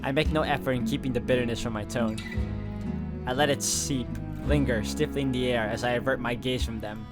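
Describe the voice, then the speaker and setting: Male game player as narrator, reading story text in a fairly high voice, clear plucked guitar music behind. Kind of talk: reading visual novel narration aloud.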